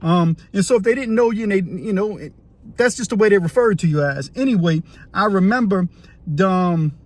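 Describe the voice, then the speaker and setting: Middle-aged New man with a fairly high voice, telling a rambling, casual story, full of asides. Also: brisk. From West Bank of New Orleans, Louisiana. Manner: rambling, conversational, fond of "you know what I mean". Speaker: former prisoner telling his story.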